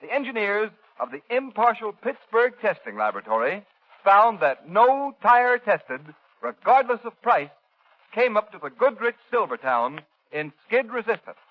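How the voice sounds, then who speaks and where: A male announcer reads an old-time radio advertisement. His voice is high for a man, with lively swings in pitch and an emphatic, persuasive push. The sound is dull, like an aged broadcast. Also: moderate pace. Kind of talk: scripted 1930s radio sales pitch. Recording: dull, band-limited 1930s radio recording.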